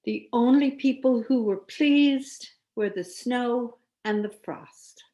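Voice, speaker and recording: Slow, expressive woman reading a children's story. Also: fairly high pitch. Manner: expressive, with dramatic emphasis. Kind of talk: children's story read aloud.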